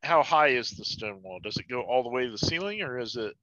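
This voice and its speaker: Male tabletop player, casual and lively in intonation, mid-pitched, muffled lo-fi sound. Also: fairly quick, no room sound. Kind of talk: casual game-table chat. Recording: dry, dull lo-fi audio.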